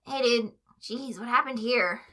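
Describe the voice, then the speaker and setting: A woman acts out an unemotional cartoon teenage boy. He is calm and laconic, delivering his words deadpan without any fuss. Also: on the high side, measured pace. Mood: flat, casual. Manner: flat, deadpan, unbothered.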